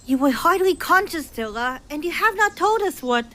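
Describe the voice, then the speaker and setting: A woman's voice: sits high, animated, moderate pace, fairly bright tone. A woman playing a worried young witch sister in a period audio drama, with hesitant, old-fashioned phrasing.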